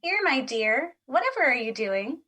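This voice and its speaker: A woman plays a tender, genteel spirit of emotion in an audio drama. Her voice sits high, and she phrases things in a precious, ladylike way. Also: sing-song, moderate pace, pushed and bright, full character voice. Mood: genteel, curious. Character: genteel, sorrowful, tender personified positive emotion.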